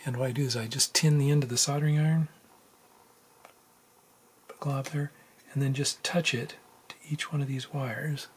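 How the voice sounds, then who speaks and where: Guy doing a casual how-to at his workbench, kinda thinking out loud, some room noise behind him, normal pitched voice. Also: steady tone, normal speed, long pauses. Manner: informal, thinking aloud while working. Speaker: tutorial host. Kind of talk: casual hands-on soldering tutorial narration. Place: indoors at a workbench. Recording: noticeable room background noise.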